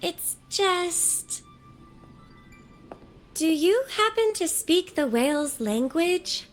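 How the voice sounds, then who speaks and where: A gentle, earnest young scholar from a fantasy game. Her voice sits high, and she speaks in measured, articulate, softly formal phrases over background music. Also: big pitch swings, exaggerated voice. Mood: hopeful, resolved. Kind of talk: video game character dialogue.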